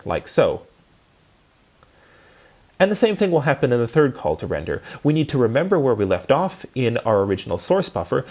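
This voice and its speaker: A man's voice: medium pitch, darkish tone. A man giving an instructional lecture, explaining things methodically step by step.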